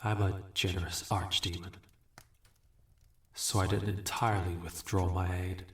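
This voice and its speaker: Man playing a deep, slow, condescending archdemon. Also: little pitch movement, slight background noise. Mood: menacing, taunting. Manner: condescending, deliberate, smooth-talking. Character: smug, weary, manipulative male archdemon bargaining for contracts. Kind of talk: scripted fantasy audio roleplay. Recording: roomy, echoing voice recording.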